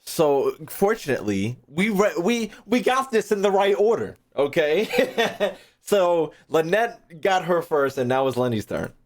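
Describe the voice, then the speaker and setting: A man's voice: a little high, big pitch swings, unhurried. A man giving casual, slangy, profane reaction commentary as a gaming video host, often with short muttered remarks.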